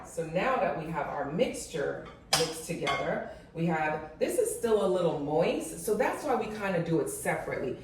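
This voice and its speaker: A woman's voice: deep voice, sing-song, normal speed, hardly any pauses. A woman hosting a cooking video, explaining each step in a casual, chatty way as she cooks in her home kitchen. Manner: chatty, step-by-step, addresses viewers as guys. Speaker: cooking channel host. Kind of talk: casual cooking demo, talking to viewers. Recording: echoey kitchen, mic far off, noisy.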